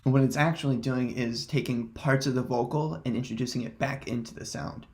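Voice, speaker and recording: A man presenting a casual software tutorial in hesitant, halting, unscripted phrasing. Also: medium pitch, fairly even intonation, music in the background.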